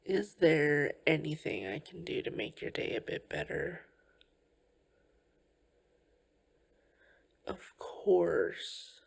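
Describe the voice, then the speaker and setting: Soft, breathy, slow-talking caring girlfriend played by a woman. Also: sits low, sing-song, heavily acted. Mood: tender concern. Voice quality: breathy, often slipping into whispers. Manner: gentle, slow, reassuring, with soft pauses. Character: tender, caring girlfriend comforting a tired partner. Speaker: ASMR roleplay performer. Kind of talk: soft-spoken ASMR comfort roleplay.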